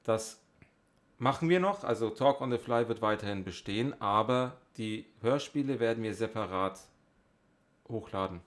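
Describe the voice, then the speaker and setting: A man's voice: lowish voice, lively intonation, moderate pace. A man chatting casually as the co-host of a podcast. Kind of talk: casual chat.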